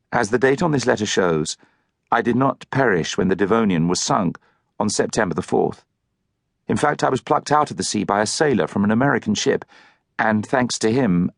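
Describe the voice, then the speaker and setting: Man playing earnest young Englishman, low-voiced. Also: gravelly, no echo, clean studio sound. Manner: earnest, articulate, formal.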